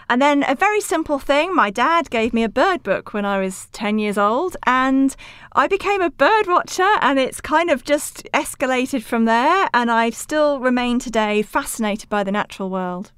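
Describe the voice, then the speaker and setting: A woman's voice: a little high, fairly bright tone, clean tone, no echo, studio quality. A woman from Wales giving articulate, explanatory answers as an interview guest on science outreach. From rural Wales.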